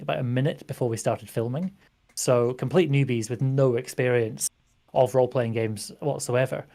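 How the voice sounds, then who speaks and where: A mid-pitched British man talks as a guest on the stream. He is chatty and upbeat, and he speaks at an ordinary pace. He tells the audience about his tabletop game channels. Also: darkish tone. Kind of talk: casual chat.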